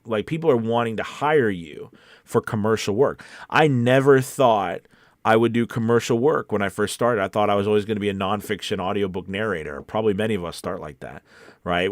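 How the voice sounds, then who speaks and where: A man's voice: on the low side, no echo, clean studio sound. A man hosting a casual livestream Q&A as a voice-over coach, talking in a conversational, rambling and encouraging way.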